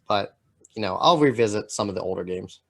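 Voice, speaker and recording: A man co-hosting a podcast in casual chat, talking in a halting, rambling way full of filler words. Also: lowish voice, lively intonation, vocal fry. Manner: halting, rambling delivery peppered with "like". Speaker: podcast co-host.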